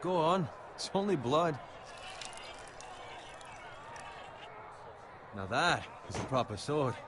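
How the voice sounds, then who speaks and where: An actor playing a cocky, teasing young soldier in a medieval fantasy game, speaking bluntly and mockingly. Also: male voice, fairly high pitch, big pitch swings, moderate pace, noisy background. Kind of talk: video game fantasy acting.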